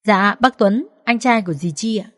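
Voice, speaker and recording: A woman's voice: mid-range voice, moderate pace, a bit bright, no room sound, studio quality, voice pushed up, close to an everyday voice. A woman voicing a small, affectionate boy in an audio story, polite, innocent and full of worried questions. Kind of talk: dramatised audio story dialogue.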